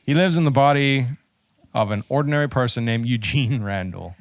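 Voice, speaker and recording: A man's voice: mid-range voice, normal speed, no echo. Dry-recorded man bantering on a podcast. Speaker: podcast co-host. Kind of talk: casual podcast banter and reading aloud.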